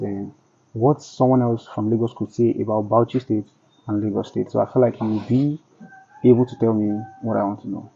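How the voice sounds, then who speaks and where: A male street interviewer with a husky, gravelly, dark voice asks his questions plainly and chimes in to finish his guest's thoughts. The recording is a bit dull and lo-fi. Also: medium pitch. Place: outdoors on a street. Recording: dull lo-fi audio.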